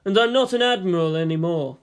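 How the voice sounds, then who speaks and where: A man's voice: high-pitched, a little fast, clean tone, lightly acted. A man voicing a lazy, wry middle-aged former admiral with a mild, philosophical manner in a story reading.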